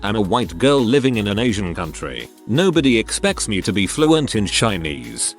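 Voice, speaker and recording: A man narrating a string of first-person stories in a scripted, expressive reading. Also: lowish voice. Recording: faint music underneath.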